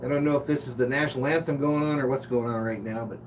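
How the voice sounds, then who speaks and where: A man's voice: medium pitch, quick pace. An American man from Los Angeles hosting a live sports stream, chatting casually and chummily with viewers and reading out their comments. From Los Angeles, United States. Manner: informal, chummy, reads out chat comments. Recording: distant mic, noisy hum.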